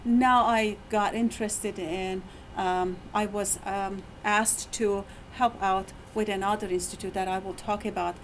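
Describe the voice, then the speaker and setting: A middle-aged woman with an Iranian accent gives a talk to fellow faculty in a university room, her voice tired after a long lecture. She speaks haltingly, with frequent fillers and restarts, at an ordinary pitch for a woman. Faint background noise sits under the recording. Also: rough recording. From Iran. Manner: hesitant, many fillers and restarts, laughs often.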